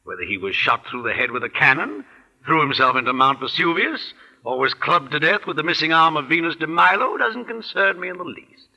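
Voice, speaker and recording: An actor playing a haughty, sardonic middle-aged literary critic in 1940s radio style, with a pompous, wordy way of talking. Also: male voice, a little high, expressive, brisk, pushed and bright, heavily acted. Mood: haughty sarcasm. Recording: muffled-free but rough vintage 1940s radio recording.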